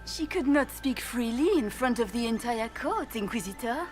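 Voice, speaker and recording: A woman's voice: fairly high pitch, animated, moderate pace, soft background music, natural voice. An actress playing a formal, ingratiating female court messenger in a video game cutscene.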